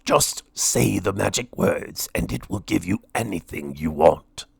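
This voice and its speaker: A gigantic, kindly dragon from a children's storybook, acted out by a male reader. The voice is low, with a gravelly creak. It speaks slowly and solemnly, as a gentle giant would. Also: animated, dry recording, heavily acted. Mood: grateful, kindly. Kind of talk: children's storybook read-aloud.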